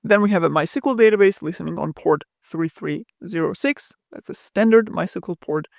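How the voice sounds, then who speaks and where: A man with a high, gravelly voice reads a scripted technical lesson. His explanation is smooth and even. The recording is dry and dull, as if played back from a lo-fi source. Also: normal speed, no echo. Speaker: course instructor. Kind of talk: scripted tutorial narration.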